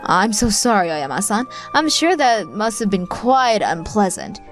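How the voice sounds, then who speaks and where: A woman's voice: mid-range voice, big pitch swings, a little slow, music in the background. A woman voicing a polite, sweet young hostess with a kind manner in an anime-style manga dub. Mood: apologetic, sympathetic. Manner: polite, soft-hearted.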